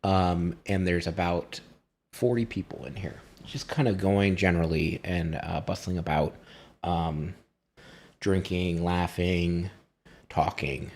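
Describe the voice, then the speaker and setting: A man improvising narration as a game master, halting often as he finds his words. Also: deep voice, steady tone, darkish tone. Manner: halting, pausing to find words.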